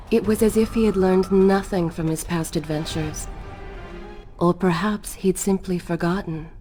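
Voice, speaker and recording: A solemn, mystical woman played by an actress narrating a fantasy game. Her voice is lowish and mellow, measured and wistful, with music underneath. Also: natural voice. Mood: sorrowful. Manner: measured, grave, storybook storytelling. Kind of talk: scripted narration in a video game.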